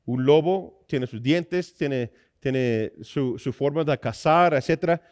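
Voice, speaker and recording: A man's voice: mid-range voice, slow pace, a little mellow. A man from the American Midwest with an American accent, a native English speaker, preaching in an explanatory way with frequent little checking questions. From Iowa, United States. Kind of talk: sermon.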